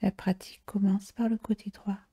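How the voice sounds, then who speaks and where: A woman guiding a relaxation meditation in a soft, whispery, breathy voice, slow and soothing, with long pauses. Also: mid-range voice, little pitch movement. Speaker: yoga nidra relaxation guide. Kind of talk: guided meditation.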